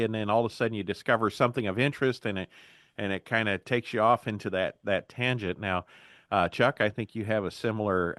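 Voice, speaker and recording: A man's voice: lowish voice, a bit bright, no echo, clean studio sound. A man hosting an interview podcast in an informal, conversational way. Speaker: podcast host.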